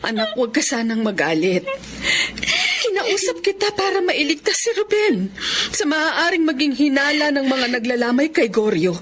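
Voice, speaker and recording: A woman's voice: sits high, very animated, normal speed, fairly bright tone. An actress playing an anguished, pleading middle-aged mother with a Filipino accent, in radio melodrama. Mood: worried. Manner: tearful, pleading, sorrowful.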